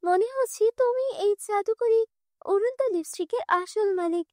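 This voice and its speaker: A high, gentle voice plays a kind fairy from another world, as a woman puts her on. She sounds reassuring and warm-hearted, like a storybook fairy. The recording is dry and close. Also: moderate pace, dry recording. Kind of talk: cartoon dubbing.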